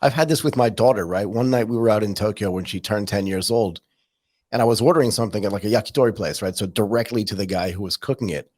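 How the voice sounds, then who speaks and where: A man with a slightly husky, lowish voice hosting a relaxed interview. He tells stories with animation and slips into quoted dialogue. His tone stays conversational throughout. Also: fast. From California. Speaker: podcast host.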